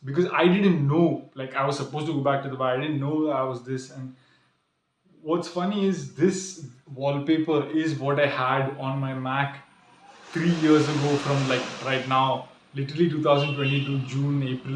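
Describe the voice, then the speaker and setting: A man's voice: medium pitch, fairly even intonation, normal speed. A young man who grew up in the UAE, rambling earnestly through a casual vlog, full of "like" and "literally", talking from a small room. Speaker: vlogger. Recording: echoey room.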